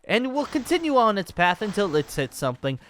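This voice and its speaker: A man's voice: high voice, very animated, fairly quick, fairly bright tone. A man playing an exuberant, boastful dragon-slayer wizard in a Minecraft roleplay, speaking in a pompous, genteel and theatrical manner. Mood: gleeful, boastful. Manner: theatrical, flamboyant, cackling, pompous. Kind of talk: improvised Minecraft fantasy roleplay acting.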